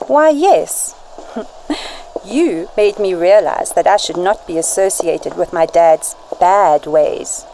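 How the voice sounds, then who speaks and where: A woman's voice: fairly low pitch, takes it slowly, dark tone, a little hiss or hum, rough recording. A woman playing a kind, composed young woman who speaks politely and a little formally in an amateur radio drama.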